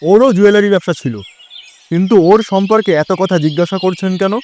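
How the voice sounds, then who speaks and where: Man playing high-voiced, emotional ageing gentleman. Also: fairly quick, slight background noise, natural voice. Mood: surprised. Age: older. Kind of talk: audio drama dialogue.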